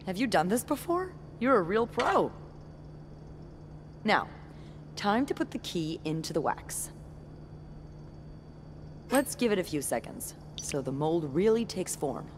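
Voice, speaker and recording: A woman's voice: on the low side, sing-song, a little slow, pauses often, a little hiss or hum. Wry young woman, swooping intonation. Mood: encouraging, wry. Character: young woman coworker, wry, playful, self-assured, creative. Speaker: game character voice actress. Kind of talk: naturalistic video game dialogue.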